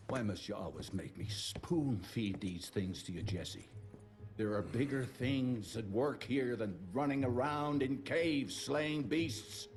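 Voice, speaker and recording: An actor playing a stern, overbearing institute director in a video game, with a formal, measured and authoritative delivery. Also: male voice, mid-range voice, darkish tone, faint music behind, close to an everyday voice. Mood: exasperated, threatening. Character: stern, commanding male director of monster-hunting institute, overbearing father. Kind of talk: dramatic video game acting.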